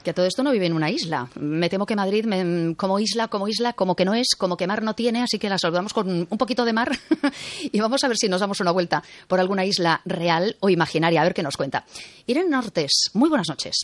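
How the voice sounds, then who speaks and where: A woman radio host with a Spanish accent from Spain talks quickly and conversationally. She is chatty and close on the microphone, in a dry studio sound. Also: mid-range voice, lively intonation, no echo. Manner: chatty, interjects short acknowledgements. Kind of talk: conversational late-night radio interview.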